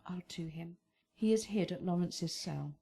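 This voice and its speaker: An actress playing a loyal, fussing nurse in a Shakespearean radio drama, with emphatic, lamenting delivery. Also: female voice, fairly low pitch, measured pace, creaky, dark tone, husky, full character voice. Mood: consoling. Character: Juliet's Nurse, fussing, loyal, scolding woman servant.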